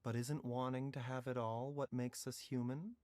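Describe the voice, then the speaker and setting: A man playing a gentle, philosophical young doctor in a video game, with measured, articulate and reflective delivery. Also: mid-range voice, flat delivery, lightly acted. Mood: calm, persuasive. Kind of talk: scripted video game voice acting. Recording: music playing behind the voice.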